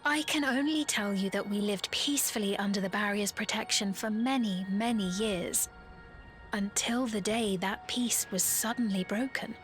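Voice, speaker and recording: A woman playing a courteous, duty-bound queen in a video game, speaking in formal, archaic and measured phrases. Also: medium pitch, faint music behind, close to an everyday voice. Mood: courteous, composed. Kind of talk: video game cutscene voice acting.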